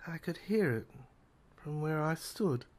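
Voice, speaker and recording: Female narrator for an audio drama, slow-paced, first-person, halting delivery with repeated words and broken phrases. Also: low voice, animated, darkish tone.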